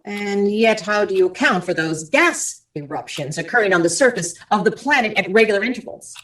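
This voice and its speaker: A woman's voice: medium pitch, animated, fairly quick, fairly bright tone, lightly acted. A woman playing an earnest radio commentator, reporting and interviewing in a formal old-time radio drama style. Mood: inquiring. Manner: formal, clearly phrased, attentive questioning.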